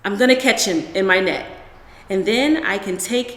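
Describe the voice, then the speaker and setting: A woman's voice: medium pitch, normal speed, a bit bright, lightly acted. A woman reading a children's story as a brave, eager, earnest little boy. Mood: excited. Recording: roomy echo with long reverb.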